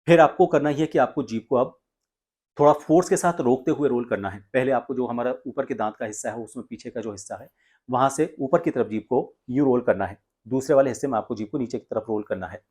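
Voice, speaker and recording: An Indian man speaks as a voice coach in an instructional tutorial. His voice sits at a middle pitch. He explains each step deliberately, often stopping mid-sentence before carrying on. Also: darkish tone, breathy edge. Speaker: voice coach and presenter.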